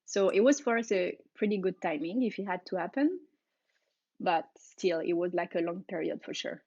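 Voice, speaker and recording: A woman's voice: lowish voice, expressive, a little mellow, slightly rough, dry recording, dull audio. A woman in her thirties from France with a French accent, answering interview questions casually with fillers and little laughs. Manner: laughs often, halting with fillers. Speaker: guest, aerialist.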